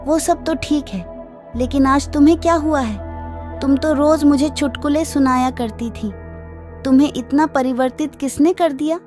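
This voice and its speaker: A woman's voice: sits high, moderate pace, darkish tone, faint music behind, natural voice. A woman playing a gracious, curious queen in a devotional cartoon story. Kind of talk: devotional animated story acting.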